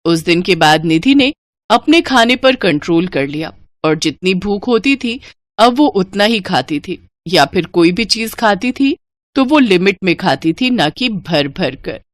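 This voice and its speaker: A woman narrates a scripted moral story. Her voice sits at a medium pitch, and the sound is very dry, with no room around it. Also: expressive, a little slow, dry recording.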